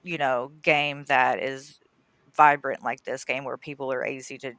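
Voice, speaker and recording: A chatty woman with a nasal voice, talking loosely and laughing easily between thoughts. Her tone is bright and crisp. The sound is dry and close, with no room around it. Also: extremely low pitch, little pitch movement, moderate pace, no room sound. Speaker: guest gamer and streamer. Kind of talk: casual podcast chat.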